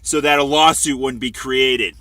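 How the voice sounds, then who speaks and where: Sharp-voiced man, dry and close. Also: medium pitch, fairly even intonation, a little slow, no room sound. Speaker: fellow complainant joining a phone call. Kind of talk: argumentative interjections.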